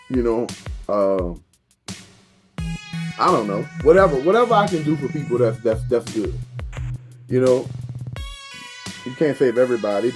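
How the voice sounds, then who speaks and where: Rambling man in his thirties, music behind. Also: mid-range voice. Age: 30s. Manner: loose, repetitive, swearing, preachy asides. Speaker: vlogger reviewing beer and cigars. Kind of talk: casual rambling monologue.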